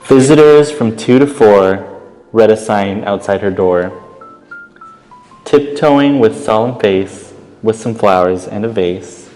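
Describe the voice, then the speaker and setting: A man reading a children's picture book aloud as a volunteer, expressively, with pauses and casual asides. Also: on the low side, big-room sound, soft background music, rough recording. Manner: expressive, pausing, commenting on the pictures. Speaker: volunteer storytime reader.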